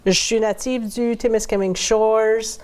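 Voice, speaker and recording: A woman's voice: a little high, steady tone, a little slow, a little mellow. A middle-aged woman from Ontario, a new co-host giving short, hesitant answers with fillers. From Ontario, Canada.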